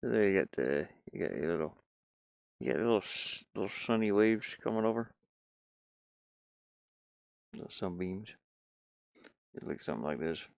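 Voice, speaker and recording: A man giving casual, halting live commentary as he works on game art, muttering and thinking aloud. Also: sits low, animated, gravelly, a little mellow, no room sound, clean studio sound. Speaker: streamer and hobby game designer.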